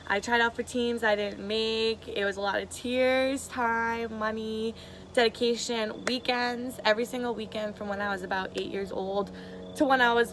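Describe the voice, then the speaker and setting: Bubbly, bright-voiced young woman. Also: fairly high pitch, slight background noise, low-quality recording. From Massachusetts, USA. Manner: bubbly, chatty, eager, with excited squeals. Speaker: reality show applicant. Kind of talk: casual audition video to camera.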